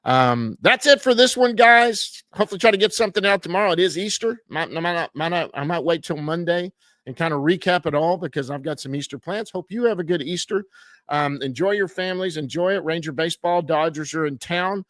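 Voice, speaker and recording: A man's voice: a little high, brisk, a bit bright, dry recording. Folksy man rambling through a sports recap. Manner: rambling, with frequent fillers and stumbles over names; self-deprecating, folksy. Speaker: podcast host recapping minor league games.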